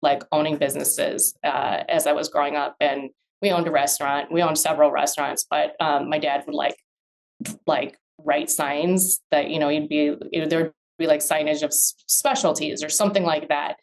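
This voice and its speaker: Woman in her 40s, low voice, chatty podcast guest, lots of likes and you knows. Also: fairly even intonation, normal speed, rough recording. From born in Vietnam, raised in the US. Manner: thoughtful, many fillers and restarts. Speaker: guest, real estate attorney. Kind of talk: casual interview conversation.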